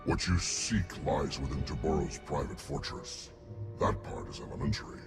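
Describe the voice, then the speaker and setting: Pompous, gravelly, extremely deep alien slug. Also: male voice, moderate pace. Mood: haughty. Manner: pompous, wordy, condescending. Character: haughty male Hutt scientist, huge slug-like alien genius. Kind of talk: video game cutscene acting. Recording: music playing behind.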